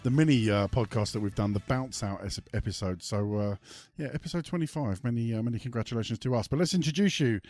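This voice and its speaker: A man's voice: mid-range voice, quick pace, a little mellow, no room sound, soft background music. A man hosting a casual podcast, rambling with ums and restarts while he has a cold. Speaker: podcast host. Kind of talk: casual chat.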